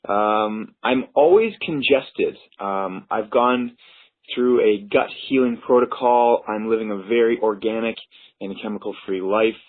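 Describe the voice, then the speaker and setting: A man calling in with a health question, speaking hesitantly with frequent ums and pauses. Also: on the low side. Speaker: caller with a question. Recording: tinny phone-like audio.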